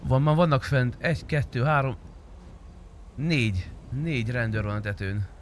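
A man talking casually and swearing while playing an online game. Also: mid-range voice. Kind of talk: casual gaming voice chat. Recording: faint background noise.

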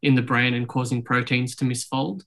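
A young man hosting an interview over a video call from his bedroom. His pitch stays flat and level, and he pieces his questions together haltingly, with frequent ums. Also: creaky. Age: young adult. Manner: hesitant, many ums and restarts. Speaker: podcast host. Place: bedroom at home, indoors.

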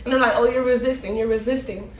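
A woman's voice: on the high side, fairly even intonation, quick pace. A young American woman talking casually while making prank phone calls. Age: young adult. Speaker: prank-call video host. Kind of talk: casual prank-call chat. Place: indoor room with cloth backdrop. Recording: echoey, distant mic, faint noise.

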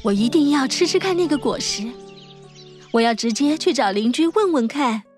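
A woman's voice: high voice, lively intonation, normal speed, faint music behind. A woman playing a naive, eager young mother-to-be in a children's fairy-tale cartoon, in an earnest, girlish way. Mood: curious, craving. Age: young adult. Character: naive young pregnant wife, craving and eager.

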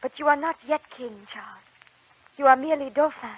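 An actress playing a devout, fearless young warrior maid in an old radio drama, speaking in an earnest, declamatory way. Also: female voice, sits high, a little fast, lightly acted. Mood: earnest, resolute. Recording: dull old radio recording.

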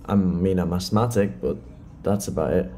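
A man's voice: low voice, normal speed, warm tone, a little hiss or hum, natural voice. A young British man in his late teens acting a nervous, eager job applicant in a horror drama, stammering and hesitant. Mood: earnest, hesitant. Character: nervous, eager nineteen-year-old male job applicant. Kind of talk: naturalistic audio drama acting in a horror film.

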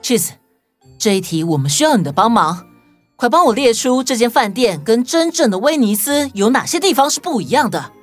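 A woman's voice: on the high side, very animated, normal speed. A woman playing a confident, reassuring young detective hero in a children's audio story. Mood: focused, reassuring. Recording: music bed behind voice.

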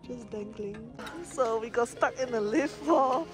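A woman's voice: fairly high pitch, unhurried. A young adult woman chatting casually to camera as she vlogs her trip. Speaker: travel vlogger. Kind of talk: casual vlog chat. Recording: loud music over the voice. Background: music.

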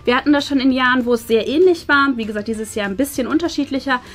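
A woman's voice: sits high, fast talker. A woman in her thirties chatting casually to viewers and explaining things as she hosts an unboxing indoors. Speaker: host of an unboxing channel. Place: small furnished indoor room. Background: faint background music.

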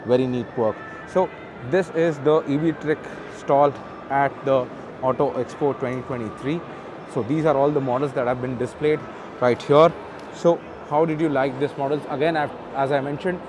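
A man's voice: medium pitch, steady tone, normal speed, noisy background. A man with an Indian English accent, an auto show presenter walking through a large indoor expo hall, filming on a handheld phone from behind the camera and casually reading out spec sheets with plenty of fillers. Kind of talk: off-camera walkaround narration. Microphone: handheld phone camera, speaker off-screen.

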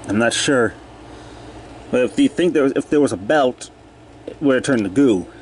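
Male hobbyist repairer, mid-pitched, plain-spoken and unscripted, talking to himself on handheld camera audio with faint hum behind. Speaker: hobbyist repairer narrating own video. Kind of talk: casual off-the-cuff repair commentary. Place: cluttered indoor workshop.

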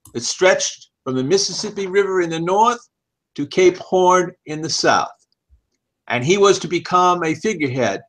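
A man's voice: on the high side, fairly bright tone. An older man reading a scripted narration aloud, recorded indoors on a headset microphone. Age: older, 60s or above. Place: small home office, indoors. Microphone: headset boom mic.

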